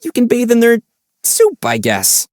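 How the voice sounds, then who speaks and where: Young traveler hero for a video game, playful and wry, animated intonation, dry studio sound. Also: male voice, very high-pitched, measured pace, no echo, full character voice. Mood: wry, helpful. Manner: teasing, wry. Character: young male traveler hero, calm, playful, gently teasing.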